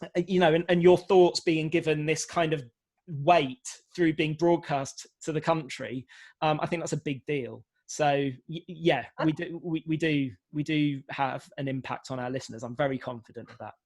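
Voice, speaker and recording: A man speaking as a panellist in a conversational way, haltingly, often repeating words as he works out his answer. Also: on the high side, steady tone, a little mellow. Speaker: prison radio broadcaster, panellist.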